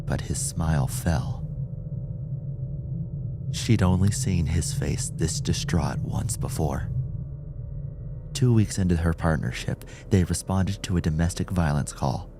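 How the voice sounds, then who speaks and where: Male audiobook narrator for horror: low register, unhurried, suspenseful, dramatic scripted read. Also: very noisy. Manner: deliberate, suspenseful.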